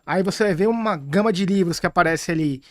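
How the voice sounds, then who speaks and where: A man with a rather high voice speaks thoughtfully on a podcast, often restarting as he gathers his words. His voice sounds dry and close to the mic, with almost no room sound. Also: fairly quick, no echo. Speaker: podcast guest, acupuncturist. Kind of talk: casual podcast chat.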